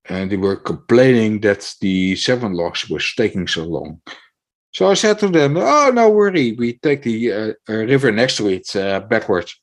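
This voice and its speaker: Hesitant man, low voice. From Netherlands. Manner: hesitant, many fillers and restarts. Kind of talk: casual chat.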